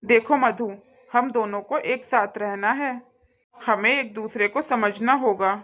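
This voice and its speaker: A woman's voice: a little high, a little slow, a bit bright, lo-fi sound. A woman voicing a supportive, firm young husband in a cartoon family drama, earnest and reassuring.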